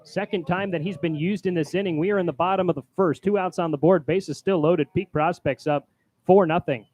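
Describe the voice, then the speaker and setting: Higher-pitched male baseball play-by-play announcer. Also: brisk. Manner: loud, projecting on calls. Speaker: baseball play-by-play announcer, also doing public address. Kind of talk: live play-by-play sports commentary. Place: press booth at a ballpark. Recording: clear background noise.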